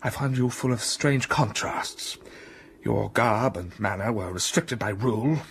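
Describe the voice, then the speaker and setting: Brooding gentleman telling his past in a radio play, slow and heavy, bit of hiss behind. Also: fairly low pitch, vocal fry. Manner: slow, brooding storytelling with sudden rises of passion. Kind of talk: radio drama acting.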